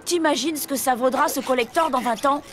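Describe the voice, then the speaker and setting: A woman's voice: sits high, a little fast, a bit bright, a little hiss or hum. A woman voicing a bossy, nerdy young boy in a French cartoon, with a scolding manner. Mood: exasperated. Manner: scolding, exasperated. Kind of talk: French cartoon dub acting.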